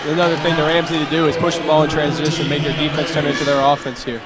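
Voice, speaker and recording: A man's voice: on the high side, little pitch movement, fast talker. A man giving color commentary and analysis during a live basketball game in a school gym. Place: indoor school gymnasium. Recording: very noisy gym broadcast.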